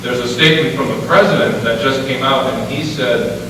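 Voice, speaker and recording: A man gives an informal talk to a gathered audience, thinking aloud with fillers and turning questions back to the room. He sounds far from the microphone in a large echoing hall with a long reverb tail. Steady background noise sits under his voice. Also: medium pitch, moderate pace. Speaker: panel speaker. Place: event hall.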